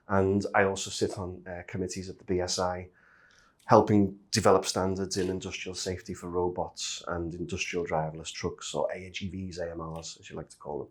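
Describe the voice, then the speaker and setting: A middle-aged man from Liverpool with a Liverpool accent, chatting as a guest in a casual interview. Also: deep voice. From Liverpool, England. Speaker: interview guest, industrial safety manager.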